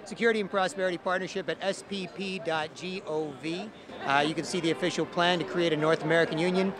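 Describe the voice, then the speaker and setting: A man's voice: sits high, steady tone, fast, crowd noise. A man who talks like an informal street activist, explaining his views in plain, insistent terms. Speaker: activist speaker and interviewee. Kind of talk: impassioned street speech and informal interview.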